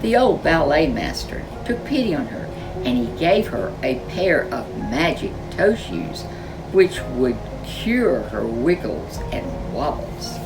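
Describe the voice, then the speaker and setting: Animated woman storyteller over music. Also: low-pitched, slow, creaky, far from the mic, poor audio. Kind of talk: expressive children's storybook reading.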